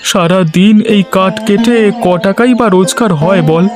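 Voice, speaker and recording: Village carpenter for a cartoon, male actor: high-ish voice, conversational grumbling, over music. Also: normal speed, natural voice. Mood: weary, grumbling. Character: village woodcutter and carpenter, weary but self-assured. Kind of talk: cartoon story voice acting. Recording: music behind the voice.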